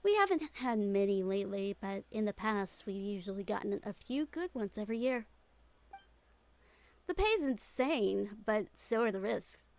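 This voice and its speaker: A woman's voice: mid-range voice, sing-song, moderate pace. A woman voicing a confident, easygoing young female mercenary in game dialogue, with breezy, informal persuasion. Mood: upbeat, businesslike. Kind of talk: fan-dub game character dialogue. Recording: music behind the voice.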